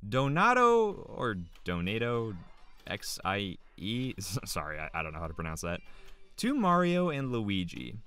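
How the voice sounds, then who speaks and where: A man hosts a comedic web show with a bright, hugely animated voice. He sounds excitable and nerdy, with a sarcastic edge. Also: on the low side, measured pace. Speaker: host reading viewer questions.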